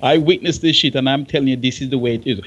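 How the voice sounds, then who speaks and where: Jamaican man, mid-pitched, as a forthright radio guest who hammers his points home. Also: fast talker, a bit bright, breathy edge, dull audio. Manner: blunt, emphatic, repeats himself for stress. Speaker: guest, veteran music engineer. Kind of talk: outspoken talk show discussion.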